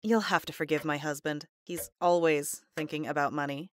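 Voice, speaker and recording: A woman's voice: sits low, steady tone, moderate pace, slight background noise, lightly acted. A woman acting as a pleasant, curious wife in an audio drama. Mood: polite, curious. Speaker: house buyer. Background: faint music.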